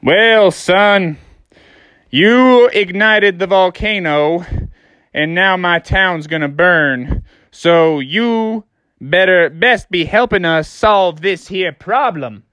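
A man acts out a big, steady sheriff from a children's western tale. He speaks in a slow, folksy cowboy drawl with firm command, close and dry. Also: high-pitched, clean tone, no room sound, voice pushed up, heavily acted. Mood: stern. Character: big, steady, drawling Old West male sheriff-mayor. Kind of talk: improvised children's storytelling.

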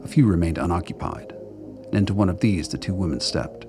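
A man narrating a scripted radio drama in a deliberate, noir storytelling manner. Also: deep voice, lively intonation, over music.

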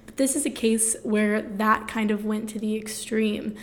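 A woman's voice: mid-range voice, steady tone, a little slow. A young woman co-hosting a podcast, retelling a news story in a chatty way full of fillers. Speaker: podcast co-host. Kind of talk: casual news chat.

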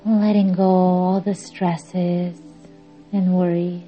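A woman guiding a yoga nidra meditation in a measured, soothing, instructing way with long pauses. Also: lowish voice, flat delivery, dark tone. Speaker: meditation podcast host and guide. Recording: dull lo-fi audio under music. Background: music.

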